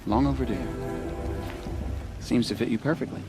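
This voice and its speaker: Game side role: actor as gentle young tailor, medium pitch, eager and polite, clear music behind. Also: male voice, normal speed, natural voice. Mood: grateful, friendly. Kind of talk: video game voice acting.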